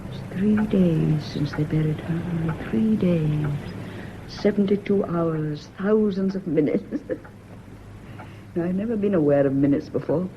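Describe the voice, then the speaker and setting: A woman's voice: on the low side, unhurried. Haunted, anxious woman, brooding dramatic delivery, radio drama, muffled recording, faint noise. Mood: anguished. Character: anxious, haunted woman in a secret love affair. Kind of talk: stage-style radio drama acting.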